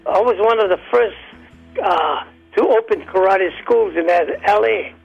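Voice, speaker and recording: An older man, an entertainer guest, reminiscing in casual chat with a hoarse, weakened voice and halting, rambling speech full of restarts. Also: sits high, muffled recording, faint music behind.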